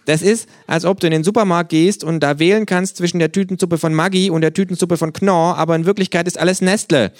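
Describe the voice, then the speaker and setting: A man's voice: high voice, fairly even intonation, fast, hardly any pauses, no echo, clean studio sound, pitched above the performer's own voice, lightly acted. A man reading aloud and voicing a cheeky, know-it-all male kangaroo who mocks and rants. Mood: ranting. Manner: snappy, mocking, ranting.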